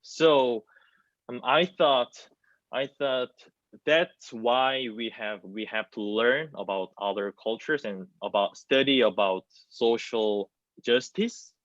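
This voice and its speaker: Young man, Korean accent, halting non-native English, dry sound, slightly dull call audio. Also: mid-range voice, a bit bright, no echo. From South Korea. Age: 20s. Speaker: theater student. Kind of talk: hesitant spoken answer in an online panel discussion. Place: small plain indoor room. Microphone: video call, wireless earbuds. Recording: muffled video-call audio.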